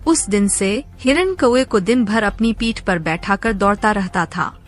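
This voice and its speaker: A woman's voice: mid-range voice, animated, unhurried, soft background music. A woman narrator reading a scripted animal fable for children.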